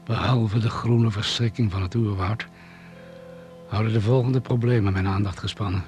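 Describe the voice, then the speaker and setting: An actor playing a composed doctor who leads crash survivors, giving a formal, precise account in a radio drama. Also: male voice, fairly low pitch, fairly even intonation, moderate pace, darkish tone, soft background music, close to an everyday voice. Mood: measured, concerned.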